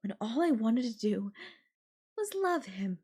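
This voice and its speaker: A woman playing an obsessive, possessive girlfriend in an intimate audio roleplay, sweet and coaxing with a menacing edge and lingering pauses. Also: on the high side, very animated, mellow, breathy edge, heavily acted. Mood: wistful, bitter.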